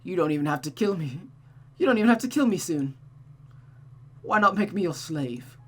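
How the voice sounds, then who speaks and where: Performer doing a sadistic deranged man, theatrical, taunting, bitterly cynical, maniacal, swooping pitch, visual novel villain. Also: expressive, normal speed, fairly bright tone. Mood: mocking, self-abasing.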